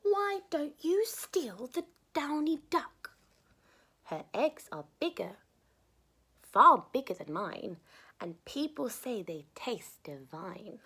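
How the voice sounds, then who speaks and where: A woman's voice: high-pitched, sing-song, slow pace, sharp tone, breathy edge, pitched above the performer's own voice, heavily acted. A woman voicing a plump, crafty female hen who coaxes persuasively. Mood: coaxing.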